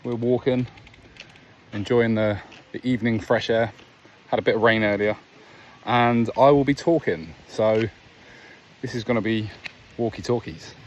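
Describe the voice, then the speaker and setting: A man's voice: lowish voice, unhurried, long pauses, slight background noise. A man sharing earnest, reflective stories on an evening walk outdoors, talking casually with restarts. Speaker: vlogger. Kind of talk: casual motivational talk while walking. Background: faint outdoor ambience, a dog.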